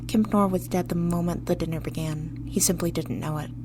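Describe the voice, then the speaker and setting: A woman's voice: lowish voice, steady tone, a little fast, background noise, lightly acted. A woman playing a logical, composed starship doctor in a sci-fi radio drama, clinical and matter-of-fact. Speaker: voice actor.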